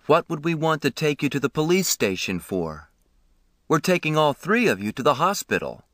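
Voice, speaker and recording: A man voicing a calm, kind adult stranger reassuring a hurt teenager in a story reading. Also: on the high side, normal speed, no echo, clean studio sound, voice pushed up.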